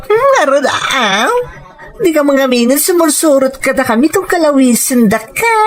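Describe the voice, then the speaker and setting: Woman acting a very high-pitched, coaxing gossipy friend. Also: big pitch swings, normal speed. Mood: breezy greeting. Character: chatty, teasing, pushy woman friend urging pampering and nights out. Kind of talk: Ilocano audio drama acting.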